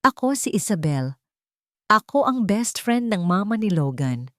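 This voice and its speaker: A woman voicing a gentle, calmly authoritative teacher-like woman in an audio love story. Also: mid-range voice, a little slow, no room sound, studio quality. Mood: smiling, gentle. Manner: soft, composed, firm.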